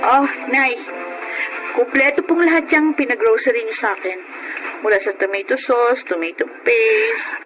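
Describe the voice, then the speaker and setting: A woman's voice: fairly high pitch, big pitch swings, normal speed, highs cut off, over music. A young woman acting a caring, supportive younger sister in a Filipino radio drama, earnest and reassuring. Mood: cheerfully helpful. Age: young adult. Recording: radio drama with faint background noise.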